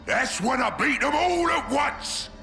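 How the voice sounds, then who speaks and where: An actor playing a cunning, brash ork warboss who shouts and schemes in a gravelly voice. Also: male voice, very high voice, expressive, measured pace, echoey room, soft background music, full character voice. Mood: bellowing.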